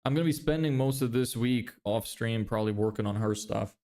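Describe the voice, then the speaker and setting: A man's voice: mid-range voice, a little fast, dark tone. A young man from Lithuania with an American-sounding accent, chatting loosely on a livestream, reading viewer comments and joking about himself. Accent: American-sounding English. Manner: loose, self-deprecating, swears casually, laughs often. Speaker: livestreamer building a business.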